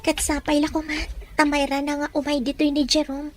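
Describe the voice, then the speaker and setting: Scheming young woman in love, performed by an actress: extremely high voice, dramatic monologue, light musical bed. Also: monotone, brisk, soft background music, close to an everyday voice. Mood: longing. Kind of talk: Ilocano audio drama monologue.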